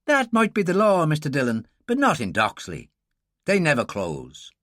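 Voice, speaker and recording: A man's voice: fairly high pitch, huge pitch swings, moderate pace, fairly bright tone, no echo, studio quality, voice pushed up. A man voicing a fierce young Irish farm girl. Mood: eager.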